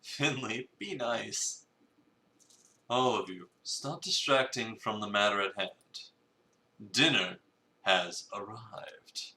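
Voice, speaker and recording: A man's voice: lowish voice, slow pace, bright, forceful tone, full character voice. A man playing a grandly theatrical, suave cafe owner in a visual novel reading, with pompous, formal phrasing. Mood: commanding. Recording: roomy, echoey sound.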